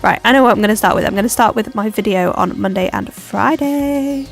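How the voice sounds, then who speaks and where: A woman's voice: medium pitch, fairly quick, gravelly, soft background music. Chatty woman with a cold. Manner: rambling, self-deprecating, lots of ums. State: stuffy from a cold. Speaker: planner vlogger. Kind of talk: chatty plan-with-me narration.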